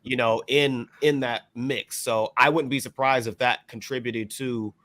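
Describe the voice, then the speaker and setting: A man on a podcast panel, talking casually in a hesitant, measured way and hedging as he goes. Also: mid-range voice, steady tone, fairly bright tone, no echo. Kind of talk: casual discussion.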